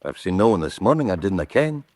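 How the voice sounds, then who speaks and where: Actor as a lively, low-voiced resourceful fugitive. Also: male voice, a little fast, no room sound. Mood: deferential. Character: resourceful fugitive man, obliging, posing as a roadmender. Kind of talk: radio drama acting. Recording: noisy ambient background.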